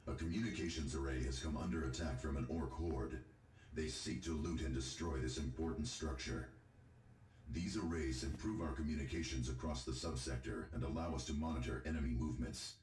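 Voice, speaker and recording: An actor playing a grave, formal military commander giving stern, measured mission briefings in a video game. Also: male voice, rumbling low, darkish tone. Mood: urgent briefing. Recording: echoey game audio with clear noise.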